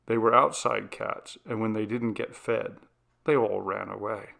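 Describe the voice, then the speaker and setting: A man's voice: fairly low pitch, fairly even intonation, unhurried, vocal fry, a bit bright. A man narrating a scripted ghost story as an audiobook reader.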